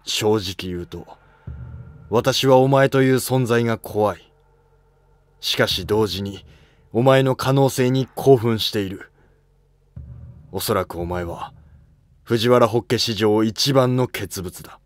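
A man voicing a dying elderly statesman and father in a historical drama, speaking gravely and formally, weary but principled. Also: fairly low pitch, slow, pauses often, no echo. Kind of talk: amateur historical drama dialogue.

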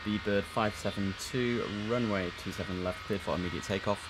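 Low-voiced man calling air traffic. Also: fairly even intonation, brisk, loud music behind the voice. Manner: crisp controller calls mixed with chatty asides. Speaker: streamer playing air traffic controller. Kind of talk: gameplay commentary with radio phraseology. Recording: noisy game stream audio.

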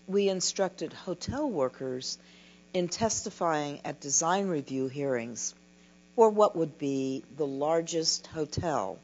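An older woman giving a keynote lecture from a podium, reading a prepared talk in a slow, measured way. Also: sits low, a little mellow. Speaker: keynote speaker. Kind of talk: scripted conference lecture. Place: dim lecture hall at a podium. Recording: dull lo-fi recording with mains hum.

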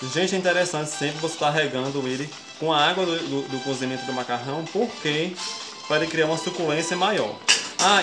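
A young Brazilian man hosting a casual home cooking tutorial, walking viewers through each step in a friendly, chatty way. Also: a little high. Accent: Brazilian Portuguese. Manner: chatty, explains each step and keeps addressing viewers as folks. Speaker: cooking show host. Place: home kitchen, indoors. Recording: echoey kitchen with background music.

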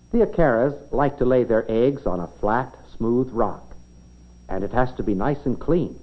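An American man narrates in the style of a 1950s educational nature film. His pitch moves in lively swings as he explains things simply, as if telling a story to children. The sound is slightly muffled, like an old film soundtrack. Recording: dull, band-limited 1950s film soundtrack.

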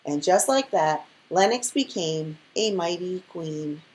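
A woman reading a children's picture book aloud in an expressive storytelling style. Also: fairly low pitch, slow. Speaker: storybook reader.

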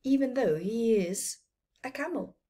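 A woman's voice: fairly high pitch, animated, warm tone, slight background noise. A middle-aged woman with a South African accent and Afrikaans as her first language, reading a story to children emphatically with teacherly asides. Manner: slow, deliberate, emphatic delivery with teacherly asides. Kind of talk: expressive read-aloud storytelling to children. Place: small plain room at home, indoors.

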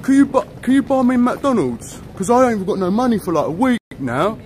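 A man's voice: sits high, big pitch swings, brisk, a little mellow. Young guy filming himself on the street, pranky banter, busy city noise. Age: young adult. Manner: joking, prank-style chatter with strangers. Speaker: IRL streamer. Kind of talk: casual street livestream banter. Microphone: handheld camera at arm's length.

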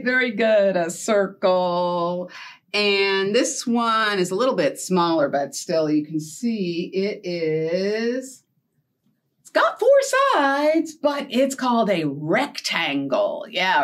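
A middle-aged American woman leading a children's storytime, speaking simply and encouragingly to young listeners as she teaches and tells stories. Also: medium pitch. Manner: animated, encouraging, addresses young children with questions. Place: indoor storytime set with backdrop and felt board.

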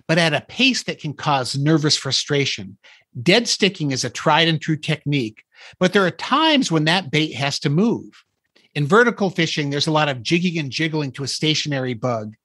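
A man's voice: on the high side, a bit bright, dry recording. A man hosting a podcast, delivering scripted fishing tips within a comic skit. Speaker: podcast host.

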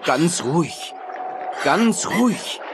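A rugged yacht skipper in a horror audio drama. His voice sits somewhat high for a man. Clear background ambience surrounds him. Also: expressive, slow pace. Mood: alarmed, urgent. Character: rugged amorous male yacht skipper, turning fearful. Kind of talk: German radio horror play acting. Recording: noisy ambience behind the voice. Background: clear ambient noise.

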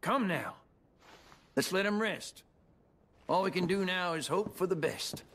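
An actor playing a brisk, matter-of-fact older town doctor in a western video game, with a husky voice. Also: male voice, sits high, lively intonation, a bit bright, slight background noise. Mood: calm, reassuring. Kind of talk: video game cutscene acting.

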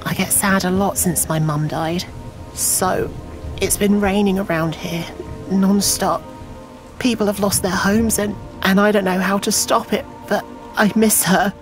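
A woman's voice: mid-range voice, steady tone, unhurried, soft background music, lightly acted, poor audio. A woman playing a sad, grieving girl in a fantasy game, plaintive and hesitant. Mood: mournful.

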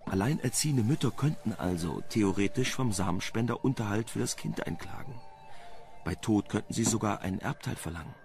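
A man's voice: on the low side, a little fast, gravelly, a little mellow. An actor playing a dry, wry, articulate male journalist in a crime radio drama. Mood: matter-of-fact. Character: dry, well-informed male journalist named Sam on a case.

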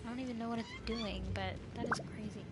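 A woman's voice: on the high side, fairly even intonation, fast talker, very noisy. A young woman chatting casually as she streams a video game. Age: teens to young adult. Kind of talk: casual chat while gaming.

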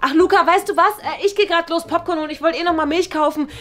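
A young woman playing a casual, slightly awkward flatmate who talks in a chatty, hedging way. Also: very high voice, fast talker, soft background music. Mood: upbeat. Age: young adult. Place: indoor flat.

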